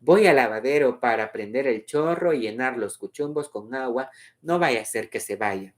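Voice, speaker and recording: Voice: mid-range voice, normal speed, natural voice. A story reader voicing an anxious, devout mother who worries and reassures. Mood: hurried. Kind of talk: storybook read-aloud dialogue.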